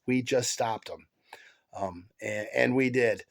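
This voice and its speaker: A man hosting a casual sports podcast, talking with a stuffed-up head cold, halting with many fillers and restarts as an excited fan. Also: medium pitch, vocal fry. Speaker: podcast host.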